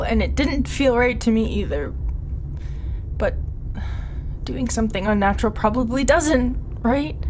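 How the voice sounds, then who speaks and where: A woman acting an insecure, hurt but tender young girlfriend in an intimate audio roleplay, speaking haltingly and with raw emotion. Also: on the high side, noisy background, lightly acted. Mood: hurt, indignant.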